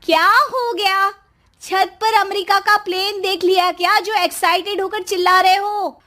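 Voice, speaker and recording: A woman's voice: very high-pitched, fairly even intonation, measured pace, pushed and bright, pitched above the performer's own voice, full character voice. A woman playing a bossy, sarcastic young wife who nags and scolds in a comic cartoon story. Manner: sarcastic, nagging.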